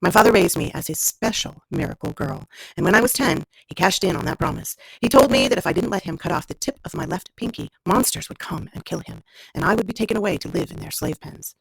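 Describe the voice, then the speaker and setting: A woman reads as a scarred young woman survivor in an audiobook-style story. Her voice is lowish with a gravelly fry, somber and measured as she recounts a grim past. The sound is dry and close. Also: expressive, dry recording, full character voice, low-quality recording. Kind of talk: first-person fiction read aloud.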